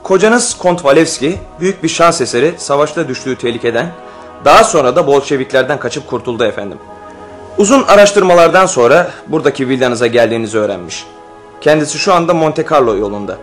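A quick-spoken actor playing a male messenger in a radio play. He is deferential and formal, delivering his report with respect, with music faintly present in the recording. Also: mid-range voice, expressive, close to an everyday voice. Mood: formal, earnest. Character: polite, deferential male Caucasian messenger bearing news. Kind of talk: radio theatre acting.

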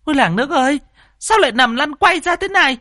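A man voicing a loud, brash, gossipy village woman who exclaims and shrieks. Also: high voice, lively intonation, moderate pace, dry recording, studio quality, voice pushed up. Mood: shrieking alarm.

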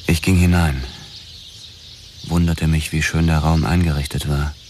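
A grim detective telling his own story in a radio play. The voice is extremely deep and measured. Clear ambient noise sits behind it. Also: flat delivery, darkish tone. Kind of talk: scripted first-person narration in radio drama.

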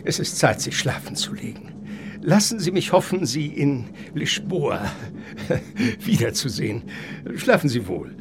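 A man's voice: fairly high pitch, very animated, background noise. An actor playing an eccentric, courteous older professor in a German radio play, lecturing with rapture and savoring his words. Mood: courteous farewell. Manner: theatrical, savoring words, halting then surging. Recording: music behind the voice.

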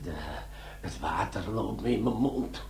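An actor playing a bumbling, blustering army sergeant turned crook in a comic crime radio play. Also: male voice, lowish voice, a little slow, vocal fry, darkish tone, roomy sound, distant mic, slight background noise. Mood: weak, dismayed. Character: bumbling army bomb-disposal sergeant turned gold thief, excitable.

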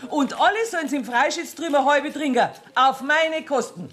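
A woman's voice: high-pitched, moderate pace, a bit bright. Bossy Bavarian woman banker. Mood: self-righteous, commanding. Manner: commanding, indignant. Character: domineering, self-righteous woman banker giving orders. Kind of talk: radio play acting in dialect. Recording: 1970s radio play, music behind.

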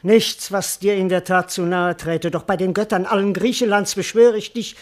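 A man's voice: high-pitched, fairly even intonation, normal speed, close to an everyday voice. A man acting a cowardly, indignant, wily servant in a classical verse radio comedy, with theatrical, plaintive declamation. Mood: pleading.

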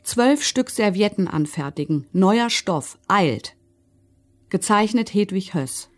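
A woman's voice: medium pitch, animated, takes it slowly. A woman with a mid-range voice a commandant's wife in a radio documentary drama. She dictates in a curt, businesslike way, like someone filing a formal request. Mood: brisk, businesslike.

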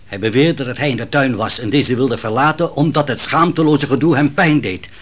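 An actor playing an aide who soberly reports on a trial in a biblical radio drama, husky and measured. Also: male voice, mid-range voice, lightly acted.

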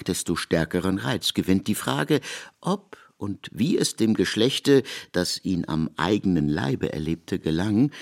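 Man, low pitch, gravelly fry, literary radio narration, measured and articulate. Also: darkish tone.

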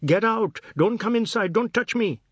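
A man doing a quick, high-pitched, panicky sick man. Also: animated, gravelly, a bit bright, husky, dry recording, studio quality, heavily acted. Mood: panicked. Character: touchy, frightened, feverish man in his sickroom.

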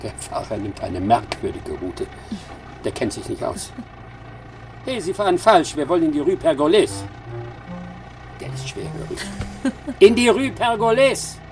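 An actor playing a self-assured, pompous English master detective in a radio drama, speaking formally and emphatically. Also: male voice, high-pitched, very animated, unhurried, long pauses, soft background music, heavily acted. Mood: agitated.

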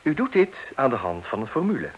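A man's voice: mid-range voice, wildly swooping pitch, a little fast, slightly rough, highs cut off. An actor playing a fussy, methodical family father who also narrates a radio play in a mock-solemn, instructive tone. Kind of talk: Dutch radio play acting.

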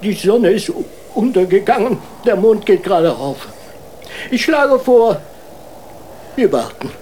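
An actor playing a deferential, worried court marshal in a children's radio play, halting, stammering and politely cautious. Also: mid-range voice, animated, mellow, slight background noise, natural voice. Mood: hesitant, dutiful.

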